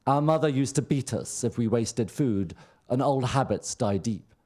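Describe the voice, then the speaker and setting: A man's voice: medium pitch, normal speed, dark tone, lightly acted. A man voicing a vain, self-assured, burly young emigrant in a novel reading, speaking tersely.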